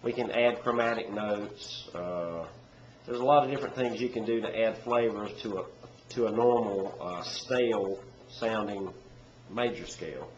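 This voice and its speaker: A man with a head cold and a stuffy nose, teaching banjo in a relaxed, rambling way with many pauses. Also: on the low side, slight background noise. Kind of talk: casual instructional talk. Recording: echoey room with dull, lo-fi sound. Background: loud banjo playing.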